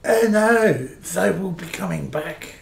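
An actor playing a slow, deferential undead manservant to vampires in a radio play. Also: male voice, high-pitched, lively intonation, creaky, heavily acted. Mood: indignant. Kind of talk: old-time radio play acting. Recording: roomy echo, faint noise.

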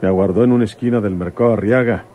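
An actor playing a flirtatious, folksy rural detective in a radio soap, with a Mexican accent and a colloquial, coaxing manner. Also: male voice, lowish voice, very fast, warm tone, close to an everyday voice. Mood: chatty, aggrieved. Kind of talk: Spanish-language radio soap acting.

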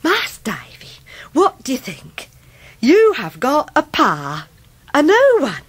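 A woman's voice: high-pitched, wildly swooping pitch, slow pace, no echo, exaggerated voice. A woman playing a homely, loyal English servant in a radio drama, motherly and blunt, with rustic speech. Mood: nervous coaxing.

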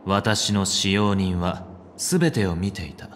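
A man voicing a composed, elegant young head of a family in a video game, speaking formally and deliberately with quiet menace. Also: sits low, slight background noise. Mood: cold, menacing. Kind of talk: dramatic video game voice acting.

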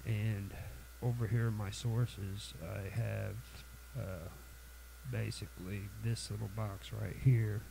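A man with a cold hosting a casual software tutorial, halting and full of fillers and restarts. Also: lowish voice, flat delivery, gravelly, noisy background. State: has a cold, sniffly. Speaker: tutorial channel host.

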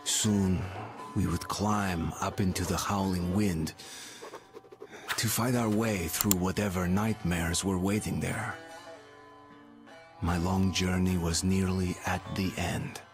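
A man's voice: low-pitched, steady tone, slow pace, faint music behind. An actor playing a young, weary soldier who narrates his journey in a solemn, reflective way. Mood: somber, reflective. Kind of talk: scripted first-person game narration.

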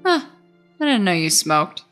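A woman's voice: lowish voice, very animated, measured pace, fairly bright tone. An actress playing a tired, teasing woman companion with dry sarcasm in a horror audio drama. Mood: wry, teasing. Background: music behind the voice.

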